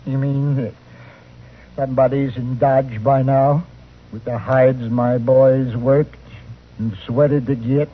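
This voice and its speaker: A man playing a weary, bitter older buffalo hunter in an old radio western, with a husky voice. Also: mid-range voice, fairly even intonation, takes it slowly. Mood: bitter, anguished. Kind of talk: 1950s radio western acting. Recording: thin, tinny old radio recording.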